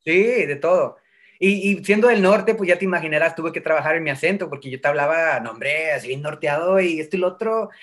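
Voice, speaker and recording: A man in his thirties from Mexico with a neutral Mexican Spanish accent, an actor talking as an interview guest over a video call from home, animated and dropping English words in. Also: on the high side, fairly quick. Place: home room.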